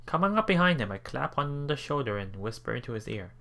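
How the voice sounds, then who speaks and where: A man hosting a let's play, reading visual novel text aloud haltingly, with casual asides. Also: mid-range voice, big pitch swings, gravelly, bright, forceful tone. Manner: halting, stumbles and repeats words while reading.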